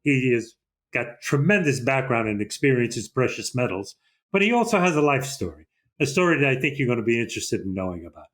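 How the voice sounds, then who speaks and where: An older man hosting an interview in a measured, conversational way. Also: mid-range voice, big pitch swings, a bit bright. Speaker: host.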